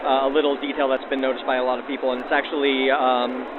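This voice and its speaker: A man's voice: mid-range voice, level tone. Guy with a mid voice, lots of ums, casual interview, old radio filter, noisy outdoorsy din. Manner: hesitant, many filled pauses. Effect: aged 1940s radio filter. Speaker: World War II reenactor being interviewed. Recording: tinny vintage radio sound, clear background noise.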